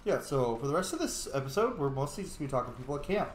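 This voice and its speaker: A man's voice: mid-range voice, sing-song, rapid-fire. A man hosting a role-play gameplay video, moving between casual commentary and lines spoken as his character. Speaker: gaming video host playing and voicing his character. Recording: echoey room with faint background noise.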